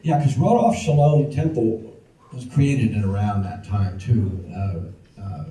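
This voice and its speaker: A man takes questions after his talk, answering briefly and with some hesitation. His voice is very dark and subdued. He sounds distant in a large, reverberant hall. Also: lowish voice, a little slow. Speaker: speaker taking audience questions. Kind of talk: Q&A moderation. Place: large banquet hall with audience. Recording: echoey hall, far from mic.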